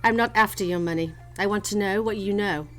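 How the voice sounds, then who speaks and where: Vengeful femme fatale for radio noir spoof, woman acting, medium pitch, commanding, soft music behind. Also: a little fast, close to an everyday voice, low-quality recording. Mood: menacing. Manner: commanding, melodramatic. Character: steely, vengeful woman holding a detective at gunpoint. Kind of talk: campy noir detective radio play.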